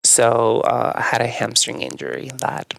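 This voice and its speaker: A young man from northern Mexico, a native Spanish speaker, talks through his story in a relaxed, reflective manner, often pausing on "um" and "like." His voice has a gravelly, fried edge. The sound is dry and close, with no room around it. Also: mid-range voice, no room sound. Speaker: podcast guest, yoga teacher. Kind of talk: casual conversational storytelling.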